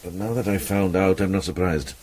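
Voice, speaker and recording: An older man playing a shrewd, calm and authoritative medieval monk in a radio drama, speaking in a measured, deliberate way. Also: low voice. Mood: musing. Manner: measured, deliberate, thinking aloud. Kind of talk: British radio drama acting. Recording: clear background ambience noise.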